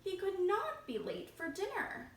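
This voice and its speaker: A woman reading a picture book aloud to children as a library storytime reader, expressive and engaging them directly. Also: very high-pitched, a little slow. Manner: expressive, asking young listeners questions. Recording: roomy echo, faint background noise.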